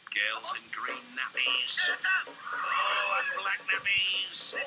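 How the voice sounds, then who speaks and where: A man's voice: high-pitched, huge pitch swings, very slow, creaky, heavy background noise. A man doing cheeky, wisecracking voice-over commentary on game show footage, mock-serious and joking. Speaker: comedy commentator.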